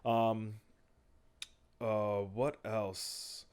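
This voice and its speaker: A man's voice: fairly low pitch, little pitch movement, drawn-out words, darkish tone, clean tone. Joking, easygoing male podcaster. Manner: jokey, loose, lots of fillers. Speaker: podcast co-host. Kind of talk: casual chat.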